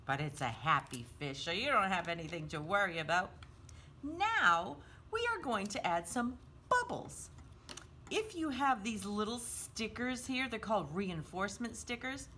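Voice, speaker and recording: Casting: female children's craft host, bright tone, very lively sing-song delivery, simple step-by-step instruction, slight background noise. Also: medium pitch, unhurried. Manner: sing-song, simple child-directed explaining, often refers to self in third person.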